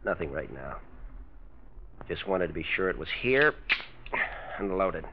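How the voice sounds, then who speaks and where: Brooding, deep-voiced jealous husband. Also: male voice, big pitch swings, a little slow. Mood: coldly menacing. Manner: terse, intense. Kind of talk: 1940s-50s radio drama acting. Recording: dull, noisy vintage radio recording.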